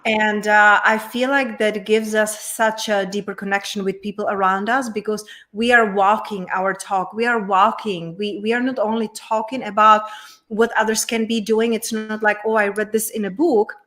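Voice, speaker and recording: A woman's voice: medium pitch, fairly even intonation, moderate pace, a bit bright. A woman from the Czech Republic with a Czech accent, a guest coach sharing her personal story on a talk show in an earnest, reflective way.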